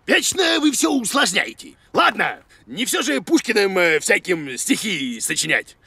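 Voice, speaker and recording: Grumpy cartoon monster teacher, high-pitched, wildly swooping, blustering, vain. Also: male voice, huge pitch swings, measured pace, gravelly, sharp tone, slightly rough, dry recording, full character voice. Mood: pompous, grumbling. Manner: pompous, hesitant blustering, exclamatory. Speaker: comic teacher. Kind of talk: children's cartoon voice acting.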